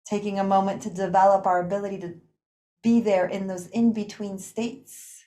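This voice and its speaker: A woman leading a guided relaxation practice in an unhurried, soothing manner, pausing often between phrases. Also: mid-range voice, level tone, dark tone. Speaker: guided meditation teacher. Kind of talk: guided yoga nidra relaxation.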